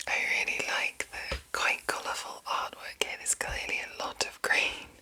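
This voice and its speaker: A woman whispering an ASMR reading in a breathy voice, deliberate and broken into short phrases with pauses. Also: pushed and bright, rough recording. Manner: slow, deliberate, pausing between short phrases. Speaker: ASMR host reading game monster entries.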